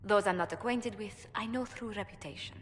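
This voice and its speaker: A woman acts out a courtly female ambassador in a fantasy game, composed and shrewd. Her mid-pitched voice is formal and diplomatic, with long hall reverb and faint background ambience. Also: fairly quick, a little hiss or hum, lightly acted. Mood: polite. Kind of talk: video game cutscene acting.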